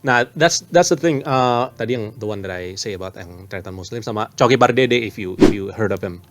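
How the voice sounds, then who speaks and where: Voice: mid-range voice, brisk. A podcast host chatting casually, switching between English and Indonesian, often hesitating and restarting words.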